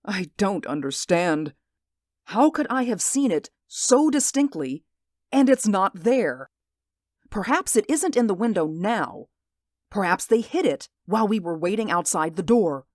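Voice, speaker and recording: A woman's voice: medium pitch, big pitch swings, unhurried, darkish tone, dry recording, clean studio sound. A woman voicing Mister Ames, a nervous, sleepless man who stammers and pleads, in a radio drama. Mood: anxious. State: sleep-deprived, anxious. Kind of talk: hobby radio drama acting.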